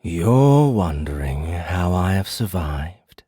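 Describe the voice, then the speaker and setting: A man playing a menacing, courteous male fae prisoner in an audiobook, with a smooth, hushed voice and formal, deliberate delivery. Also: sits low, big pitch swings, no echo, clean studio sound, exaggerated voice. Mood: grave, restrained. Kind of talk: audiobook character acting.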